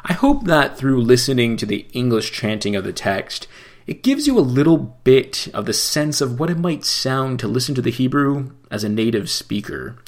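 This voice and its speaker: A man teaching how to chant scripture, explaining slowly and breaking into chanted phrases. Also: mid-range voice. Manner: slow, measured explanation between chanted phrases. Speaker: cantor teaching Torah chanting.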